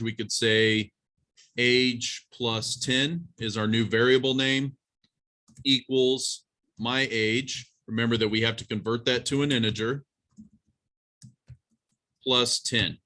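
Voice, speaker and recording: A man's voice: mid-range voice, fairly even intonation, unhurried, sharp tone. A man teaching an informal college programming class, talking through a live demonstration in a plain-spoken, instructive way and addressing students directly.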